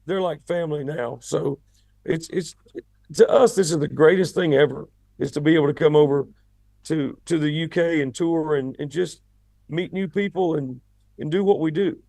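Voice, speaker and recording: Raspy-voiced rock singer. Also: male voice, on the high side, normal speed, a little mellow, dry recording. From United States. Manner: rambling, repeats words when starting sentences. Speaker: rock band lead singer, guest. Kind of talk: casual interview chat.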